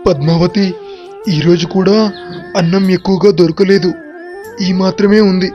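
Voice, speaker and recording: A man's voice: sits high, fairly even intonation, normal speed, dark tone, natural voice. Guy doing a poor humble beggar dad for a kids moral story, tender, dull lo-fi sound, music underneath. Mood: weary. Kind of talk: dramatised moral story acting. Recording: muffled lo-fi audio under music.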